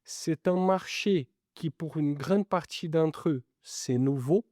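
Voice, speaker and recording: A man with an Italian-Brazilian accent, speaking French as a second language, answers questions as a business school lecturer. His voice is mellow and dark, recorded dry and close, and he halts often on fillers while searching for words. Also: fairly high pitch, takes it slowly, no room sound. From Italy and Brazil. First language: not French. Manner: hesitant, many fillers. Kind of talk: interview answers.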